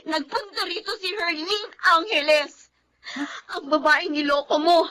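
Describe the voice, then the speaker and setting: A woman's voice: very high-pitched, a little slow, bright, forceful tone, full character voice. An actress playing a hot-tempered, jealous young girlfriend in a Tagalog radio drama, emotional and accusing. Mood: hurt, accusing.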